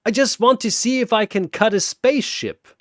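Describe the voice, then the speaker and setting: Man doing a high, excitable young fire knight. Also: normal speed, dry recording, clean studio sound, lightly acted. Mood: gleeful. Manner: bold, grinning, earnest. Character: cheerful, brash young male fire knight.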